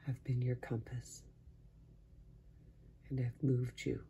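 A man softly guiding a sleep meditation in a hushed, breathy voice that often sinks into a whisper, slow and soothing with long pauses. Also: mid-range voice, little pitch movement, a little hiss or hum. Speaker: meditation guide. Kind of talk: guided sleep meditation, soft-spoken.

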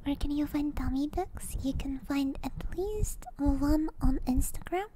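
A woman host whispering softly and breathily into a close binaural microphone, chatting gently and casually with viewers in an ASMR style. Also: high-pitched, measured pace, a little hiss or hum, rough recording.

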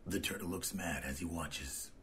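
A man's voice: low voice, normal speed, fairly bright tone, breathy edge. A man reading scripted narration that describes comic panels.

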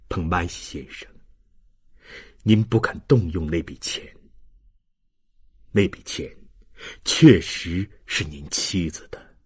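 A man voicing a dying old man in an audiobook, weak, breathy and halting, tender and resigned. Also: deep voice, pitched below the performer's own voice, full character voice. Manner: slow, halting. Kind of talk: dramatized audiobook reading.

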